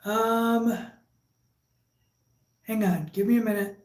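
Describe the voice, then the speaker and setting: A woman with a Michigan accent hosting a casual live stream, chatting with viewers in a relaxed, halting way with lots of fillers and restarts. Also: fairly high pitch. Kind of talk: casual live chat, reading viewer comments. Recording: echoey room.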